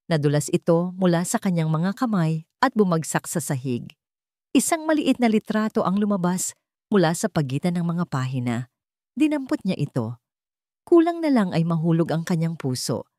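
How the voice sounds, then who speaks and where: Woman narrator, lower-pitched, lively Filipino delivery. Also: no room sound, clean studio sound. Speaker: story narrator. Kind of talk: scripted dramatic story narration in Tagalog.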